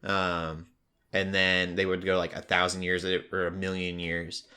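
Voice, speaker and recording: A man's voice: low-pitched, monotone, a little slow, pushed and bright. A man hosting a casual pop culture podcast, talking in a rambling way that drifts into tangents. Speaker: podcast host.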